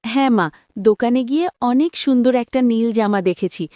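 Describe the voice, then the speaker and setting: A woman's voice: a little high, normal speed, mellow, dry recording, lightly acted. A woman playing an eager, hopeful young daughter of a poor family in a cartoon, earnest and pleading. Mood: dreamy, insistent. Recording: background music under the voice.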